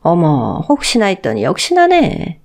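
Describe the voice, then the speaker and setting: A woman's voice: fairly low pitch, wildly swooping pitch, a little mellow, exaggerated voice. A woman voicing a haughty, scornful middle-aged mother-in-law in a radio story drama, berating and sneering. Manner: sneering, rapid-fire berating.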